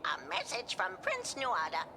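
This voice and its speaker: A woman's voice: fairly low pitch, huge pitch swings, measured pace, background noise. A woman voicing a talking messenger bird that delivers a prince's threat in a game cutscene. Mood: threatening.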